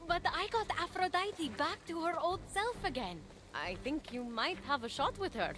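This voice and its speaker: An actress voicing a plucky, cheeky young mortal heroine, eager, coaxing and teasing, in a video game cutscene. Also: female voice, very high-pitched, expressive, moderate pace, fairly bright tone. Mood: earnest pleading.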